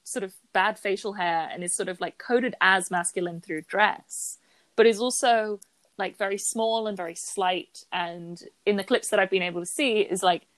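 A woman's voice: mid-range voice, moderate pace, no room sound, rough recording. A woman co-hosting a podcast, talking through theatre productions in an analytical way with frequent hedges. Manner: analytical, hedging with "sort of" and "like". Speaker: podcast co-host. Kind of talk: casual chat about theatre.

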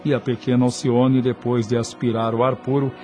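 A man's voice: mid-range voice, level tone, moderate pace, mellow, faint music behind. Solemn male radio drama narrator. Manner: solemn, literary storytelling.

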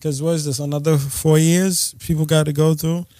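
A man's voice: on the high side, flat delivery, moderate pace, dark tone, no echo. A man co-hosting a podcast, chatting casually in a laid-back, slangy, joking way. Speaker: podcast co-host. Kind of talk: casual chat.

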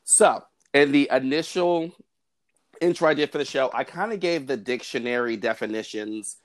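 A man hosting a podcast, explaining ideas in a measured way and chatting with his guest. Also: medium pitch. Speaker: podcast host. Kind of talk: podcast conversation.

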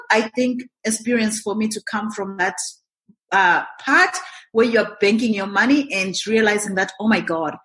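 A woman from Zimbabwe speaks as a podcast guest, her voice sitting in an ordinary middle pitch. She tells her story with energy, slipping into quoted thoughts, with small fillers between phrases. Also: a bit bright. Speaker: guest, real estate investor. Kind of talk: interview answers.

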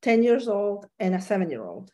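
A woman's voice: mid-range voice, very animated, moderate pace, mellow. Woman from chile on a podcast, laughs a lot, throws in spanish words. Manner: laughs easily, mixes Spanish phrases into English. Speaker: guest. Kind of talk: casual interview chat.

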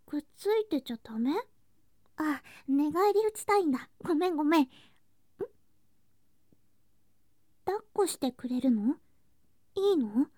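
A woman playing an affectionate, clingy younger girl cousin in an intimate bedtime ASMR drama, speaking softly and breathily, often in whispers, drowsy and coaxing. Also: high voice, expressive, normal speed, no room sound, studio quality. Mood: playfully flustered. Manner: soft, drowsy, coaxing, sweetly childlike.

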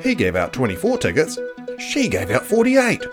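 A narrator reading a children's book aloud in a dramatic, playful, expressive style. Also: mid-range voice, normal speed, music in the background. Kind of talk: expressive storybook read-aloud.

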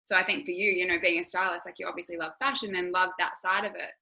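This is a young Australian woman talking openly as a podcast guest. Her voice sits a touch low yet comes across bright and forward. She thinks aloud, with plenty of "like" and "you know". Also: steady tone, fast talker, creaky. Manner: candid, reflective, many fillers like "you know". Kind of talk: conversational interview.

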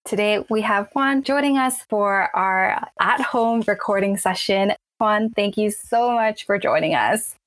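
An upbeat woman hosts a workplace podcast, moving from a scripted introduction into a friendly interview. She records at home on a budget lapel microphone, and her voice sits at an ordinary middle pitch. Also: measured pace, hardly any pauses, fairly bright tone, no room sound. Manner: upbeat, enthusiastic. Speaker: podcast host. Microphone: budget lapel mic.

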